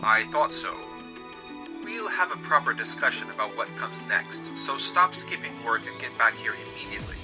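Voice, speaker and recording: A man plays a stern hospital boss in a manga dub. His mid-pitched voice is curt and scolding, with no softness in the wording. Music plays clearly underneath. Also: lively intonation, normal speed, natural voice. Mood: stern, displeased. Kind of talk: scripted dub acting.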